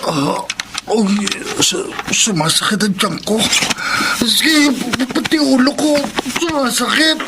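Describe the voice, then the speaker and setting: A tormented Filipino office worker in a radio horror play. His slow, creaky voice swings widely in pitch as he strains through halting words. The recording is very noisy. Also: expressive, heavily acted. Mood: pained, groaning. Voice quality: gravelly, strained, hoarse. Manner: halting, groaning speech. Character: male office worker in agony turning eerily changed. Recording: very noisy radio drama track.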